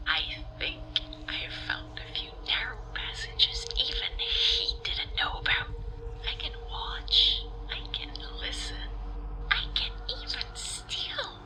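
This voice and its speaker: A woman playing a creepy, sly sneak who lurks in the walls, hushed and menacing, savoring words in a game audio log. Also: a little high, wildly swooping pitch, unhurried, in a whisper, rough recording. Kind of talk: whispery audio log monologue.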